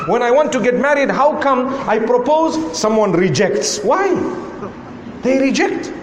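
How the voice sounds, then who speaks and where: A man's voice: very high-pitched, animated, measured pace, roomy sound, in a large hall, slight background noise. A man from Zimbabwe with a Zimbabwean accent, preaching a sermon to a live audience and pressing one rhetorical question after another. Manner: rhetorical questioning, repeating "why" and "how come".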